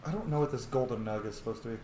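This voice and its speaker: A man's voice: medium pitch, racing, mellow. A man giving casual let's-play commentary as a game streamer, muttering his choices to himself as he goes. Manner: thinks aloud, mutters choices to self. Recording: roomy echo, faint background noise.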